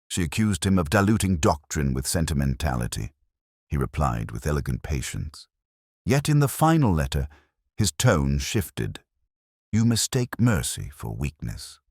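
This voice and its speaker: A man reading a scripted first-person detective story in a measured, brooding, literary manner. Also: rumbling low, dry recording, clean studio sound. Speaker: narrator.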